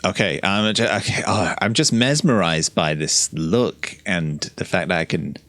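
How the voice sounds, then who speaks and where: A low-voiced man hosts a casual gameplay video, recorded close and dry. He gushes over what he plays, easily delighted, and drops muttered asides. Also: unhurried, a bit bright, no echo. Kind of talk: casual let's play commentary.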